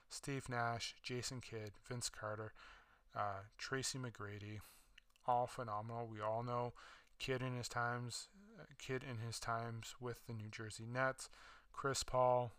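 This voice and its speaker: A man's voice: medium pitch, fairly bright tone, no echo. A man hosting a solo sports podcast, talking casually with many fillers and restarts, his voice slightly worn. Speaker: podcast host.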